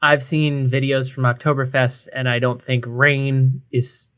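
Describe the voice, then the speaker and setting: A man's voice: mid-range voice, level tone, unhurried, a bit bright, clean tone. A man co-hosting a podcast, talking casually with a wry, joking manner. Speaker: co-host of a craft beer podcast.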